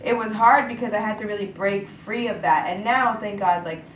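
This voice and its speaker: A woman's voice: medium pitch, steady tone, moderate pace, far from the mic, slight background noise. A young woman from Brooklyn, a singer-songwriter, chatting casually as an interview guest and filling her sentences with "like". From Brooklyn, New York, USA. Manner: chatty, fills every thought with "like". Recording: echoey room.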